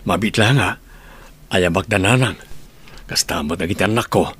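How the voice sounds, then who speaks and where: Low-voiced, devoted family man. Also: normal speed, fairly bright tone, slight background noise. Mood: reassuring. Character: adult son and father, devoted, reassuring suitor. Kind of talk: radio drama acting.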